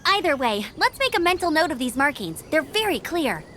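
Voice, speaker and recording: A woman's voice: sits high, noisy background. A peppy young female scout. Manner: brisk, upbeat and lively.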